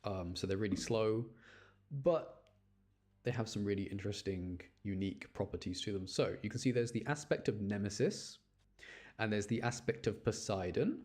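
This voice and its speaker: A man streams a game in a fairly low voice. His commentary is casual and chatty, explaining things as he goes. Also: normal speed, a little mellow. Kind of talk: casual live gameplay commentary.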